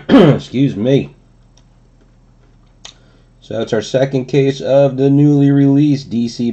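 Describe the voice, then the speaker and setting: A man hosting a trading card break, hoarse from a cold, explaining the set in a relaxed way with many fillers. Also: lowish voice, lo-fi sound. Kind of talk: casual card-opening commentary. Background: crinkling wrappers and clicks.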